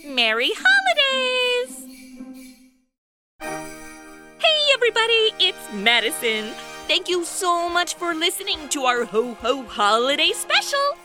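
A woman's voice: very high voice, wildly swooping pitch, slow, over music. A woman hosting a podcast with scripted narration and announcements. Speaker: podcast host.

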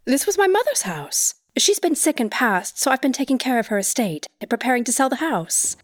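A woman's voice: high voice, sing-song, brisk. A woman playing a bewildered, reserved mother in a holiday radio play.

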